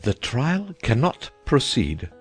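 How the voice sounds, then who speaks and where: A man voicing a pompous, fretful old king who speaks slowly and gravely. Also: mid-range voice, huge pitch swings, soft background music, exaggerated voice. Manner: slow, grave pronouncements.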